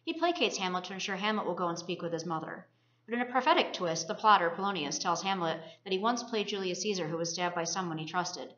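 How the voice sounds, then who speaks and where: Female college student presenting a scripted literary discussion at a brisk pace, roomy lo-fi sound. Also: fairly low pitch, lively intonation, fairly bright tone. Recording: roomy, muffled lo-fi recording.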